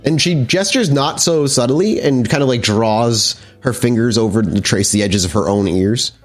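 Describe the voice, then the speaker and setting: A man narrating an improvised tabletop game as game master, descriptive and somewhat halting. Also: medium pitch, faint music behind.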